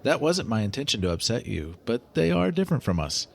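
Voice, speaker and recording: An actor playing a clinical, curious non-human researcher in an audio drama, formal and precise, fascinated by humans in a detached way. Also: male voice, mid-range voice, big pitch swings, a little fast, creaky. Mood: detached fascination.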